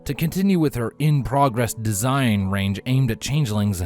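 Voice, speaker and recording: A man reading a fantasy story as narrator in a scripted, dramatic style with deliberate pauses. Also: mid-range voice, a little mellow. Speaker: fan fiction narrator. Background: faint background music.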